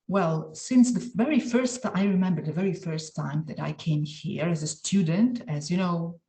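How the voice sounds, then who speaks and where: A woman in her forties from Croatia, a guest in a casual interview, who often pauses and hunts for words. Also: lowish voice, warm tone, roomy sound. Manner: searching for words, frequent fillers.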